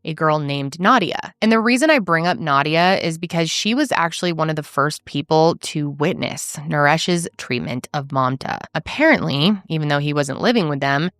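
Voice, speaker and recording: A woman's voice: sits low, lively intonation, moderate pace, hardly any pauses, a bit bright, no room sound, clean studio sound. A woman hosting a true crime podcast, telling a case in an earnest, emphatic, conversational way. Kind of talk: casual storytelling narration.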